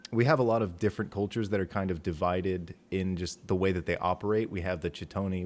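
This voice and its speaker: A soft-spoken man with a low, quiet voice, hosting a casual livestream about his book on a desktop mic. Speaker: author and stream host.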